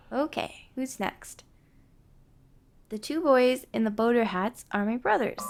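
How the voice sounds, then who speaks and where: A woman's voice: fairly high pitch, creaky, full character voice. A woman playing a sweet, flustered young woman in a scripted roleplay drama, speaking hesitantly and affectionately, easily exasperated. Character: sweet flustered young woman introducing her ghost family to a partner. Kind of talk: scripted roleplay audio drama, one-sided conversation.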